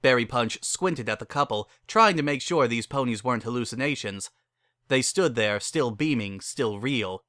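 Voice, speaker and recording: A man's voice: medium pitch, expressive, moderate pace, fairly bright tone, no room sound, clean studio sound. A man narrating a piece of fan fiction as a dramatic reading. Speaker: story narrator.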